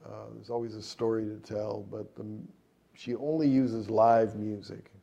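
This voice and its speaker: A middle-aged man talks about his music career in a relaxed, meandering interview. His voice is gravelly and dark, with an even, flat delivery. He pauses often on fillers and restarts his sentences. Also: fairly low pitch, muffled recording. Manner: rambling, many fillers and restarts. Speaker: jazz trumpet player and composer.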